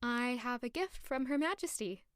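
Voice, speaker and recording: Woman playing a high-voiced, courteous noblewoman. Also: animated, normal speed, fairly bright tone, clean tone, no echo, clean studio sound. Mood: polite, observant. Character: courteous, concerned young noblewoman at a royal court. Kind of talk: audio drama acting.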